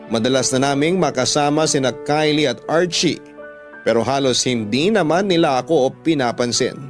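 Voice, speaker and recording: A man with a Filipino accent reading a first-person dramatic story as a radio storyteller. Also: on the high side. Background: music under the voice.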